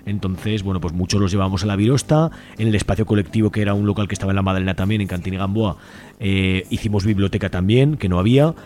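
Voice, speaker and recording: Guy talking really fast, soft mellow tone, casual radio chat, super talkative. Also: lowish voice. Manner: talkative, colloquial, seeks agreement with question tags. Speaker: community library volunteer, interview guest.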